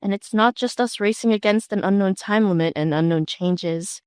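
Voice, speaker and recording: A woman's voice: mid-range voice, moderate pace, darkish tone, clean tone, no room sound, clean studio sound, close to an everyday voice. Woman acting a worried young female group leader. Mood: grim, focused. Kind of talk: webtoon fan dub voice acting.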